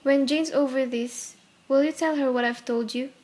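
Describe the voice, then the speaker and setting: A woman's voice: high voice, steady tone, moderate pace, a little mellow, natural voice. An actress playing a tender, secretive, wistful lady in a radio play.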